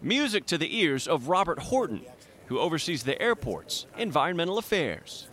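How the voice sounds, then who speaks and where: Lively man narrating a news report. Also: on the high side, moderate pace. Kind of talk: scripted news package narration.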